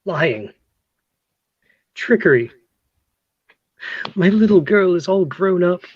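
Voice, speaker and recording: A woman acting a nosy, motherly confidante hungry for romance, husky and theatrical in a comic play read. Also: on the low side, animated, takes it slowly, full character voice. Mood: dramatic, conspiratorial. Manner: theatrical, breathlessly prying. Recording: dull lo-fi, close dry sound.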